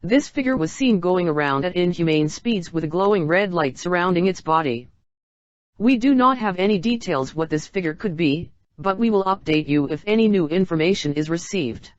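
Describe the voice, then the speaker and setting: Low, dull-sounding female emergency alert announcer. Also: expressive, normal speed, no echo. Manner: formal, instructional. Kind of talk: scripted emergency broadcast announcement.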